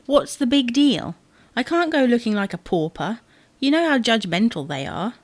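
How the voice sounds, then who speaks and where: A woman's voice: on the high side, very animated, moderate pace, no echo. A woman playing a fussy, exasperated wife who bickers insistently in a domestic audio sitcom. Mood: indignant, anxious. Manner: bickering, insistent, theatrically indignant. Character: fussy, exasperated wife fretting over wedding clothes.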